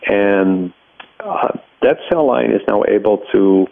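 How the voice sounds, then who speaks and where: Middle-aged male scientist, Dutch accent, gravelly low voice, halting explanatory interview delivery. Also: expressive, no echo, narrow, tinny audio. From Netherlands. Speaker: research scientist and laboratory head, interviewee.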